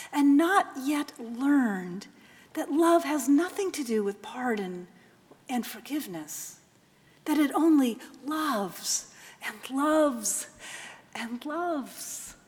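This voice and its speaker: A woman voicing a doting, forgiving older mother who speaks softly and often in a hush, recorded with a long echo. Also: sits high, lively intonation, drawn-out words, exaggerated voice. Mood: hushed, tender. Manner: soft hushed tender voice, often whispering.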